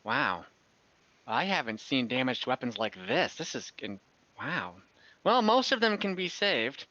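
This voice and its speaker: A man's voice: mid-range voice, big pitch swings, a little slow, pushed and bright. Man putting on a flustered older woman shopkeeper, slightly dull audio. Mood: impressed. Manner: hesitant, repeating words.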